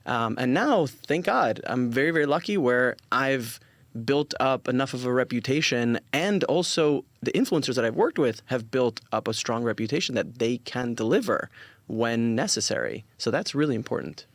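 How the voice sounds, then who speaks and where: A man's voice: medium pitch, animated, moderate pace, no room sound. A forty-year-old man speaking casually as an interview guest, earnest and emphatic, repeating words and acting out quoted dialogue. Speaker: interview guest, influencer marketing company founder.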